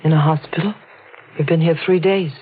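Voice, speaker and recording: An actress playing a composed, earnest investigator's ally in a 1940s radio drama, speaking tersely and matter-of-factly. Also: female voice, deep voice, fairly even intonation, a little fast, breathy edge. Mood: grave, subdued. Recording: thin, phone-like vintage radio recording.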